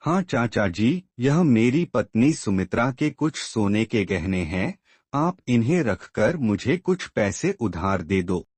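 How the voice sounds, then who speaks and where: A man acts an earnest, respectful younger relative in a cartoon moral tale. His voice is fairly low and slightly rough, with animated intonation at a slow pace. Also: no echo, full character voice. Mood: respectful, pleading. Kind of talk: Hindi moral-story cartoon dubbing.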